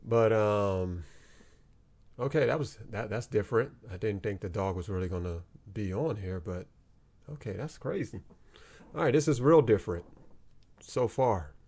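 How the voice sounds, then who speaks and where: A man's voice: lowish voice, moderate pace, a little mellow, breathy edge. A man giving offhand, joking reaction commentary while watching a dating show. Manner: offhand, wisecracking.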